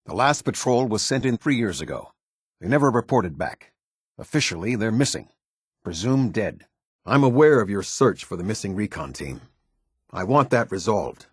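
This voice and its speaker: A stern American military captain in middle age, speaks in a low, husky voice. He is formal and authoritative, with clipped orders and a pitch that moves widely. The recording is close and dry. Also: no room sound. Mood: businesslike. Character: stern, demanding middle-aged male military officer. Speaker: video game voice actor. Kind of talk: scripted game dialogue, military commander.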